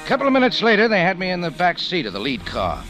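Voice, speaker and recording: An actor playing a tough, defiant American cafe owner with clipped, wry delivery in a vintage radio noir. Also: male voice, high voice, wildly swooping pitch, fast talker. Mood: terse narrating. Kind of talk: 1940s radio noir narration and acting. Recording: old radio recording with music.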